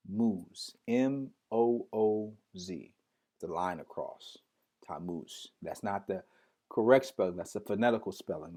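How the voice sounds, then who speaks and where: A man's voice: low voice, darkish tone. A man teaching a live-streamed Hebrew lesson, repeating each word and spelling it out letter by letter, often restarting as he talks straight to his viewers. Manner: drills words slowly, spells them out letter by letter.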